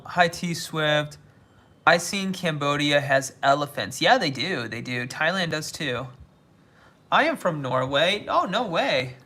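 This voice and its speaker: Bright-voiced American man chatting on stream. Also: fairly high pitch. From United States. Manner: reads viewer comments aloud and replies, with halting restarts. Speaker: livestreamer reading chat. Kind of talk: casual livestream chat. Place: living somewhere in Asia.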